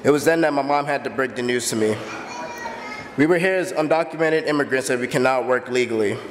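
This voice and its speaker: A man in his early twenties from the Bahamas, earnestly telling his own story as a steady personal testimony. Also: mid-range voice, a little fast, long reverb tail, a little hiss or hum. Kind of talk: personal testimony, read aloud.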